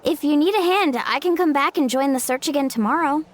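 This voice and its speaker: A woman's voice: sits high, brisk, natural voice. A woman voicing a cheerful, earnest young girl in a video game, bubbly and eager. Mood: friendly.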